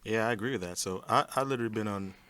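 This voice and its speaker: This is a man with a Trinidadian accent in a relaxed panel chat. His voice is bright and a touch low. He tells his stories with plenty of laughter. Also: very fast. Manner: laughs often, animated storytelling. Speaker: panel guest. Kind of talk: casual group discussion.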